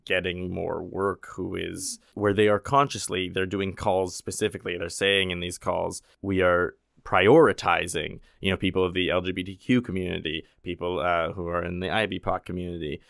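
A man's voice: sits low, fairly even intonation, moderate pace, gravelly, few pauses, a bit bright, no echo, studio quality. A man co-hosting a podcast conversation, talking loosely with lots of fillers. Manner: chatty, loose phrasing with many fillers. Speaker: podcast co-host. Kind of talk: casual conversation.

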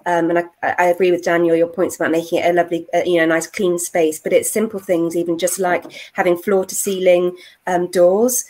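A woman's voice: on the low side, level tone, brisk, darkish tone. A middle-aged woman explaining her views as a panelist on a video call. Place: indoor room. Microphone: earphones on a video call.

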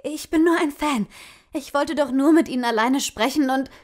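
A woman's voice: high voice, moderate pace, close to an everyday voice. An actress playing a bold but nervous young woman, a horror fan caught intruding, in an audio drama. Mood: nervous, apologetic. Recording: music bed under the voice.

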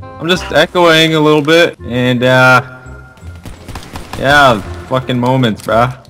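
A man's voice: mid-range voice, fairly even intonation, slow pace, over music. A young man chatting casually over game voice chat, teasing friends in crude slang. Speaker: gamer. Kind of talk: casual joking voice chat while gaming.

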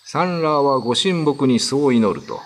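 Solemn male storyteller with lively intonation. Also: mid-range voice, moderate pace, slight background noise. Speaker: narrator. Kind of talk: scripted ghost-story narration.

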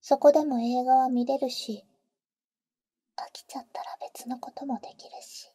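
A woman acts out a protective, teasing vampire big sister for an ASMR roleplay. Her whisper is breathy and mellow, very close and dry on the mic. She uses cool, boyish phrasing with an intimate feel. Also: high voice, steady tone, moderate pace, dry recording. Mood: tender. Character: teasing, protective female vampire, older-sister type to a boy. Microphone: close microphone, dry.

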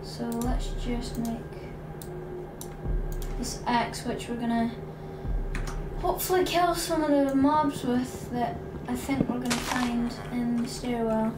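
A woman's voice: fairly high pitch, fairly even intonation, slow. A woman hosting a gaming video, chatting and thinking aloud as she plays. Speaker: gaming channel host. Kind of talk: Minecraft let's play commentary. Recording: echoey room, distant mic, loud noise.

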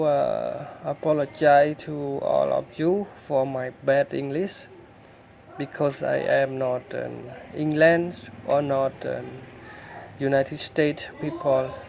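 Khmer-accented guy explaining a tech thing over his screen, lots of uhs, slow and kinda gravelly, dull lo-fi mic. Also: on the high side, fairly even intonation, slight background noise. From Cambodia. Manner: halting, many fillers and restarts. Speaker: tech tutorial narrator. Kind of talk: screen-recorded tutorial.

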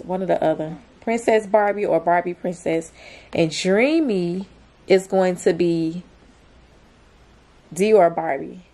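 Slow-talking young woman vlogging casually. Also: lowish voice, vocal fry, warm tone. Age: young adult. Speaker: vlogger, mother. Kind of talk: casual vlog chat to camera and to her child. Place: small plain room indoors.

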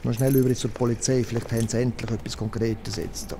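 An actor in an audio drama playing an official who is attacked at night and screams frantically for help. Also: male voice, medium pitch, flat delivery, brisk, dark tone, a little hiss or hum, natural voice. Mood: weary.

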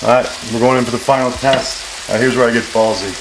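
A man's voice: medium pitch, fairly even intonation, fast, noisy background. A man in his forties hosting a cooking vlog in his home kitchen, brash, joking and profane. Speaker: cooking show host. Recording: sizzling frying pan behind.

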